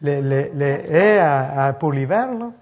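Halting, higher-voiced man preaching. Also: lively intonation. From El Salvador. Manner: halting, many restarts, storytelling. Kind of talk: informal sermon with congregation.

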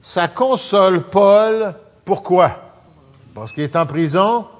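A man born in France, living in Canada, teaching a Bible study in a relaxed conversational way and often asking his listeners questions. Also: high voice, takes it slowly, in a large hall. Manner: conversational, asks the audience questions. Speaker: pastor.

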